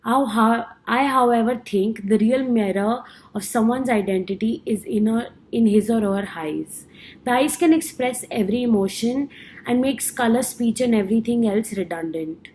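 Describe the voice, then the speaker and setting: A woman's voice: fairly high pitch, moderate pace, darkish tone, a little hiss or hum, poor audio. A young woman from India with an Indian accent, earnestly reading her class speech with small stumbles. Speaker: student.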